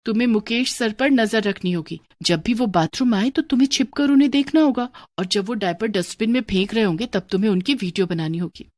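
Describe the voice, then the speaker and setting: A woman voicing an indignant, mocking young schoolgirl in a cartoon story, with an animated, complaining manner. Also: mid-range voice, a little fast, few pauses, natural voice. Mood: scheming. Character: indignant, mocking young schoolgirls plotting against their teacher. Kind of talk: cartoon moral story dialogue.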